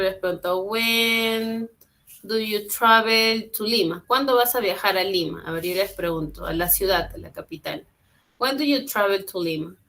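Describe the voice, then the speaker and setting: A woman's voice: mid-range voice, fairly bright tone. A woman teaching an online English class, patient and encouraging, repeating and translating phrases. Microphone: computer mic on a video call.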